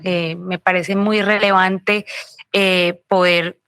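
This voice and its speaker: A woman's voice: fairly low pitch, little pitch movement, slow, a bit bright, clear, resonant tone, no room sound, studio quality. A journalist from Colombia's coast, answering interview questions reflectively and searching for her words. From Colombia, Pacific coast. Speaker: journalist guest.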